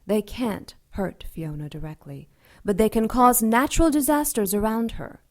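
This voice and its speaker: A woman playing a persuasive, grave female dark angel in an audio drama. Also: a little high, moderate pace, lightly acted. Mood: grave, urgent.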